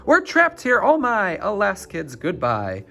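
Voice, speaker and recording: A man's voice: sits high, huge pitch swings, unhurried, creaky, bright, forceful tone, voice pushed up, heavily acted. Man acting a stern, dramatic schoolteacher lady. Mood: panicked. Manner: scolding, emphatic. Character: stern, dramatic schoolteacher woman, severe and easily panicked. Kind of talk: storybook character reading.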